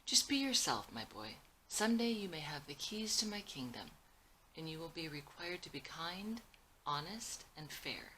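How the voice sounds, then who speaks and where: A woman voicing a kind, dignified grandfather in his eighties, gentle and wise, in a screenplay read-through. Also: low voice, sing-song, moderate pace, a little hiss or hum, exaggerated voice, low-quality recording. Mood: kindly advising.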